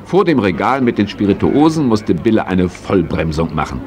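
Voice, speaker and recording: An actor narrating a children's horse story as storyteller in a German audio drama. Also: male voice, lowish voice, big pitch swings, moderate pace, noisy background. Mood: lively.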